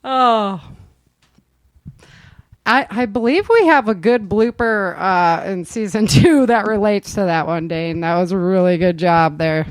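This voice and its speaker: Chatty woman hosting, unhurried, close dry sound. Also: mid-range voice, no echo. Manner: laughs often, chatty, appreciative. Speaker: host and show producer. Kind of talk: casual group chat.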